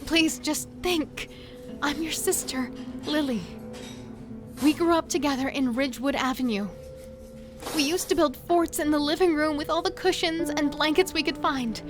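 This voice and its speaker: Actress as a frightened woman, high-pitched, urgent, pleading, confused, horror audio drama, faint music behind. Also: moderate pace, natural voice. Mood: earnest, pleading. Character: frightened, insistent middle sister, lost and confused.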